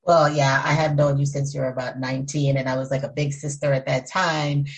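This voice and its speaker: A middle-aged woman hosts a podcast interview in a very low, gravelly voice. She is chatty and draws her guest out with open questions. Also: little pitch movement, brisk. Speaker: podcast host. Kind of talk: interview hosting.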